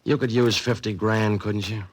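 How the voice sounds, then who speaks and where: A menacing tough-guy crook played by a man in an old radio mystery. The voice is low, and the talk is blunt, clipped and slangy. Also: steady tone, normal speed, no room sound, close to an everyday voice. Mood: gruffly threatening. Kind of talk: old-time radio drama acting.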